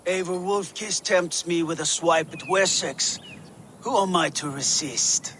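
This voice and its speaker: An actor playing an eager, battle-hungry Viking war chief with a bold, relishing delivery in a video game. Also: male voice, on the high side, measured pace, a bit bright, slight background noise. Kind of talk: dramatic video game acting.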